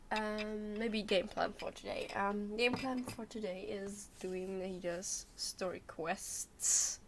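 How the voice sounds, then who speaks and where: Young female game streamer: unhurried, hesitant, casual thinking-aloud chat, average pitch, faint noise.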